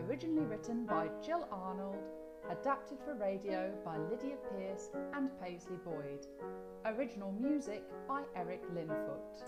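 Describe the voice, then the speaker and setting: A woman announcer reading scripted credits for a radio drama. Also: mid-range voice. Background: piano music.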